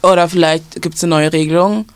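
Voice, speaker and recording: A woman's voice: on the low side, fairly even intonation, brisk, clear, resonant tone, no room sound. A young woman from western Germany giving casual school advice, explaining at length with many fillers and restarts.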